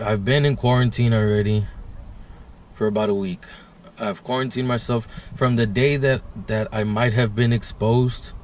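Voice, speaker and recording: A man's voice: on the low side, slight background noise. A man talking casually to camera as a fishing vlogger, laid-back and rambling, sometimes congested. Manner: laid-back, rambling, says "guys" often. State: congested, stuffed sinuses. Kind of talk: casual vlog talk to camera. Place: outdoors by a river.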